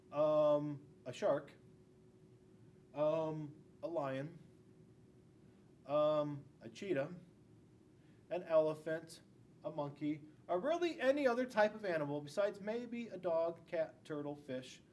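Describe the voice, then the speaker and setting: A man's voice: on the high side, slow, long pauses, darkish tone, a little hiss or hum. A middle-aged man, a school police officer, reading a picture book aloud to young children, stressing key words and adding asides. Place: indoors in a school classroom.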